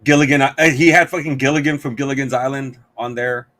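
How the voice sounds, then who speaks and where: A man's voice: medium pitch, steady tone, fast talker, a bit bright. A man co-hosting a podcast in laid-back casual chat, slangy and profane. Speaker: podcast co-host.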